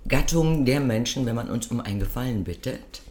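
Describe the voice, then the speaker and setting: A woman chatting casually as a podcast co-host, telling a story in a lively, self-mocking way, her nose stuffed up from a cold. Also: extremely low pitch, brisk. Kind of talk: casual chat.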